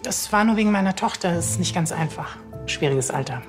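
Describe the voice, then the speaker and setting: A woman's voice: fairly low pitch, lively intonation, brisk, soft background music, natural voice. An actress playing a middle-aged woman doctor, polite, hesitant and a little evasive, in a TV drama. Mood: flustered, polite. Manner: hesitant, apologetic. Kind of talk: TV drama acting.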